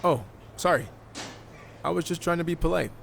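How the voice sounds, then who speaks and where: A man's voice: a little high, moderate pace, creaky, fairly bright tone, slight background noise. A man playing a confident, dryly teasing indie wrestler turned new recruit in a video game cutscene. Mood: polite, casual.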